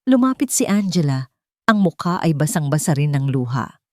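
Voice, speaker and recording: A woman with a Filipino accent narrating a scripted love drama in a measured, dramatic way. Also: deep voice, very animated, warm tone, dry recording, clean studio sound. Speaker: storyteller.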